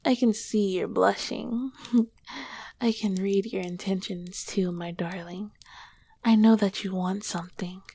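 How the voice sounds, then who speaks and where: A woman playing an ancient, tender, teasing succubus queen in an intimate ASMR roleplay, soft-spoken and often dropping to a whisper. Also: mid-range voice, fairly even intonation, gravelly, breathy edge, full character voice. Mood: playful, teasing. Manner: slow, gentle, affectionate. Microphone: close microphone, dry.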